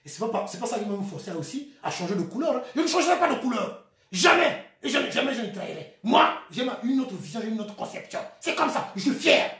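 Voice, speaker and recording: Man with a Congolese French accent, fiery activist monologue, very lively pitch, echoey room. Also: fast talker. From Republic of the Congo. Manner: swings between shouting and quiet, bitter asides, laughs often. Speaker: activist vlogger. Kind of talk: impassioned political monologue.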